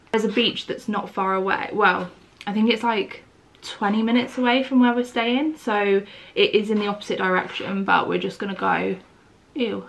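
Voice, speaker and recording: Husky-voiced young woman vlogging. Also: mid-range voice. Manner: chatty, rambling, fillers like "like". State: unwell, voice going. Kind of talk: casual vlog chat to camera. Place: bedroom indoors, then outdoors in countryside. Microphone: handheld camera at arm's length.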